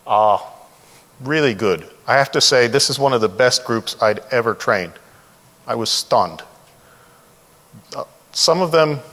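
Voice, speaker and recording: A man's voice: medium pitch, fairly bright tone. A man speaks as a presenter at a conference, his voice ringing through a reverberant hall. He is informal and candid, talking conversationally and freely offering opinions. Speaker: conference speaker, developer trainer and consultant. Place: large indoor presentation room.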